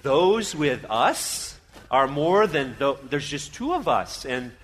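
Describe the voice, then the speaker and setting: A man's voice: a little high, sing-song, slow, a bit bright, voice pushed down, heavily acted. A man playing a panicky, doubting servant with a flustered, stammering delivery in a comic Bible story. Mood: doubtful.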